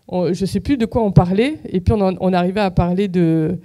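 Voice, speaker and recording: A woman's voice: on the low side, fairly quick, mellow. A woman giving an earnest, chatty testimony during a church service. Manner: earnest, conversational storytelling. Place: church hall.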